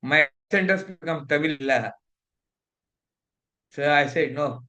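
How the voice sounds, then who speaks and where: A man's voice: fairly high pitch, slow, pushed and bright. A middle-aged man from India with an Indian English accent, lecturing a fellowship class in a preachy, scolding way and mixing in Hindi.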